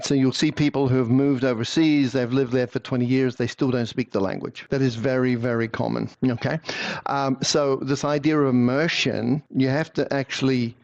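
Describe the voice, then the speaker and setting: A man of middle pitch gives long, explanatory interview answers. He often stumbles over repeated words before he finds his sentence. The recording sounds slightly dull and muffled. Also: a little mellow. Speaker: guest, language-learning speaker.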